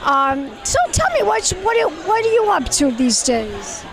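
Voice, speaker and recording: A woman's voice: very high-pitched, sing-song, unhurried, gravelly, a little hiss or hum, poor audio. A woman TV host with a Brooklyn accent, doing flamboyant red-carpet interviews outdoors at a gala and calling everyone darling.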